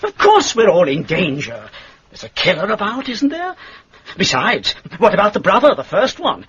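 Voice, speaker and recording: A man playing an excitable, gossipy English lodger in a radio drama, with a dramatic, chummy way of talking. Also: sits high, moderate pace, crowd noise. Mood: excited, alarmed. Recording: dull lo-fi radio drama recording.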